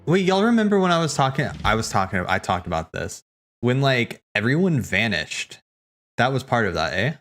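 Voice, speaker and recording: A man's voice: mid-range voice, very animated, moderate pace, fairly bright tone. A man hosting a casual watch party, rambling and thinking aloud in slangy speech as he comments. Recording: game music playing behind. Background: video game cutscene music.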